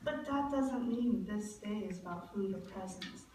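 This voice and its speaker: A woman acts as a sincere little girl puppet telling things earnestly. Her voice sits at a medium pitch. It is recorded from a distance in a very echoey, noisy hall. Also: measured pace, darkish tone, lightly acted. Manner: earnest, explanatory storytelling. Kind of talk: church puppet show acting.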